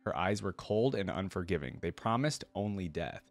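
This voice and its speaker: A man's voice: low-pitched, expressive, moderate pace. A man reading a fantasy story aloud and adding enthusiastic, informal fan commentary as a reaction video host.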